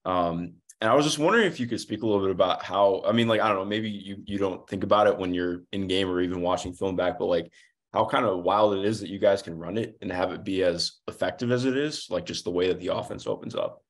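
Deep-voiced, quick young male reporter. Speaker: sports reporter. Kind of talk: casual press conference questioning. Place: room at home, indoors. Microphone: video call.

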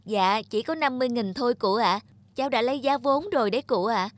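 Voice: mid-range voice, a little fast, lightly acted. An actor playing an earnest, polite young male street seller in a cartoon. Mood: kind, earnest.

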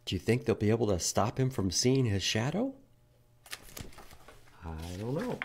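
A man in his fifties reading to young children as an animated library storytime host. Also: lowish voice, measured pace, breathy edge. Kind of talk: children's storytime read-aloud. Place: indoors in a library room.

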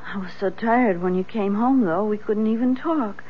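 An actress playing a gentle, weary invalid wife who depends on her husband, in a 1970s radio drama. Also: mid-range voice, moderate pace, lightly acted. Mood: tender. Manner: tender, weary. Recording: noisy, muffled 1970s radio recording.

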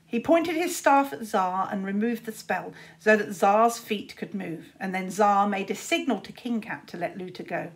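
A woman's voice: medium pitch, animated, moderate pace, few pauses. A woman, narrating a children's story expressively, like a teacher reading aloud.

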